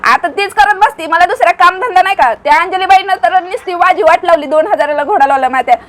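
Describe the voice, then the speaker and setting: A woman's voice: very high voice, quick pace, fairly bright tone. A woman playing an angry, stubborn tailoring customer in a prank call, blunt and argumentative, with a rural western Maharashtrian accent. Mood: stubborn complaint. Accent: rural western Maharashtrian Marathi.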